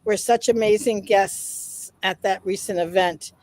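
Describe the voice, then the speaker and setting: A woman's voice: medium pitch, fairly even intonation, a little slow, dry recording. A woman co-hosting a casual online panel, enthusiastic as she reads out viewer comments. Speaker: webinar co-host.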